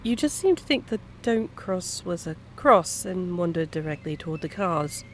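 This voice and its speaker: A woman acting in an intimate audio roleplay as a kind, gently teasing private eye, talking softly-concerned and conversational to a stranger. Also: fairly low pitch, lively intonation, normal speed, slight background noise, lightly acted. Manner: soft-hearted, hesitant, questioning, playful asides.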